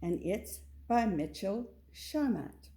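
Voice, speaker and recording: A woman's voice: medium pitch, lively intonation, slow, mellow. A woman reading a picture book aloud to children in a storytelling style.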